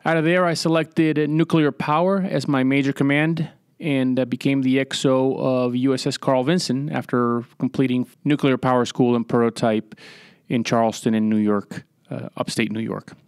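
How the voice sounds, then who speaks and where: A middle-aged man from Puerto Rico, a former Navy captain, giving measured, matter-of-fact answers as a podcast guest with frequent pauses and "uh" fillers. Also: medium pitch, steady tone, clean tone, no room sound, studio quality. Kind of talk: conversational interview answers.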